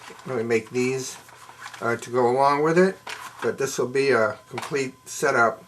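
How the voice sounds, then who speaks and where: A middle-aged man, a bit hoarse, explaining his handmade leather work at a workbench in a plain, folksy, unhurried way. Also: medium pitch, a bit bright, slight background noise. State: slightly hoarse, losing his voice. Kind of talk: casual show-and-tell demonstration. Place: indoors at a workbench.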